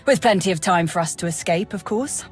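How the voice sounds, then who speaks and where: A woman's voice: on the low side, fairly quick, husky, soft background music. A woman playing a cocky, wisecracking Imperial military officer in a video game, with a breezy, plain-spoken, sardonic manner.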